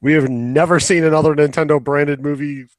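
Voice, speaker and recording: A man's voice: fairly high pitch, steady tone, brisk, no echo. A man co-hosting a podcast, giving casual commentary in an informal, blunt and opinionated way. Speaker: podcast co-host.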